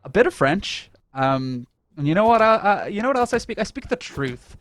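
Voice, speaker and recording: A man's voice: sits high, big pitch swings, moderate pace, fairly bright tone, dry recording. A man co-casting an esports stream in a casual, joking, mock-earnest style. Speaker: esports co-caster.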